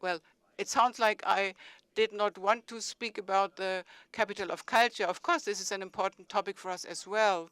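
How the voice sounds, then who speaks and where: German-accented man, measured panel talk. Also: high-pitched, steady tone, bright, forceful tone, smooth, no echo. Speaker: city mayor on a panel.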